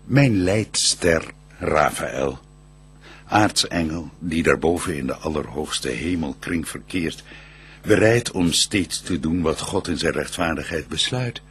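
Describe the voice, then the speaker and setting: An actor playing a solemn, reverent archangel, declaiming verse in a stately, measured manner in a radio play. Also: male voice, rumbling low, expressive, breathy edge, full character voice. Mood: solemn, commanding.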